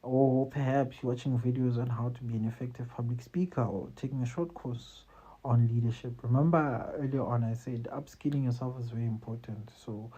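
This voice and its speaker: A man's voice: medium pitch, fairly even intonation, brisk, mellow, husky, muffled recording. A South African man hosting job interview advice in a loose, conversational way, often adding "you see" and "man". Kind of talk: casual advice talk to camera.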